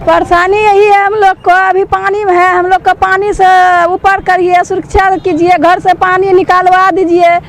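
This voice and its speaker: A woman's voice: very high-pitched, flat delivery, normal speed, few pauses, soft background music. A woman resident making an unscripted, pleading complaint to a reporter. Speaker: local resident interviewed.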